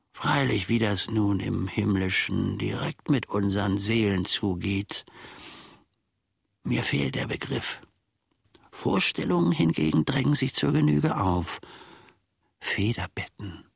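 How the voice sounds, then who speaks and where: An actor playing a grumbling, pedantic, world-weary manservant who philosophizes in a rambling, theatrical radio play monologue, with a gravelly, husky voice. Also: male voice, on the low side, a bit bright, no echo, clean studio sound, heavily acted. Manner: rambling, theatrical, pseudo-learned, halting.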